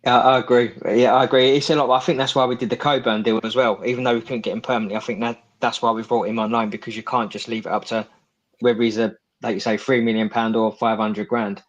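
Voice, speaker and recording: Flat-toned agreeable podcast co-host man. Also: mid-range voice, fast, highs cut off. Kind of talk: casual football chat.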